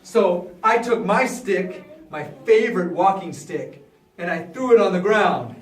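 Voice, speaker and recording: Casting: male storyteller as boastful Egyptian court magician, high-pitched, animated, chatty modern phrasing, heard in a roomy echo. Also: measured pace. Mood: proud. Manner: animated, conversational, acting out the other characters. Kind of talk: live in-character Bible storytelling, casual modern speech. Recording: echoey room, far from the mic.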